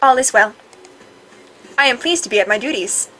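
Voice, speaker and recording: A woman voicing a calm, obedient male water creature in a visual novel, speaking formally and with measured delivery. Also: fairly high pitch. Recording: faint background game music.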